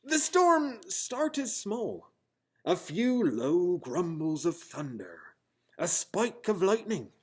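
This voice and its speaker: A man reading a children's storybook aloud in a theatrical, expressive style. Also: sits high, slow, slightly rough. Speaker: storybook reader. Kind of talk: dramatic children's storybook narration.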